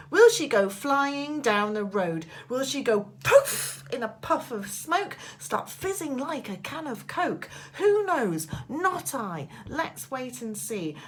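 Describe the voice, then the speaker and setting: A woman voicing a mischievous, excited eight-year-old storybook boy, gleeful and dramatic as he chants and schemes. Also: fairly high pitch. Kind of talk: storybook read-aloud character voice.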